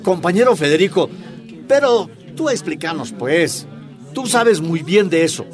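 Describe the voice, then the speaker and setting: Minero humilde, voz aguda. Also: male voice, high-pitched, very animated, a little slow, soft background music. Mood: deferential. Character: humble male miner at union meeting, deferential, eager. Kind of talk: radio drama acting.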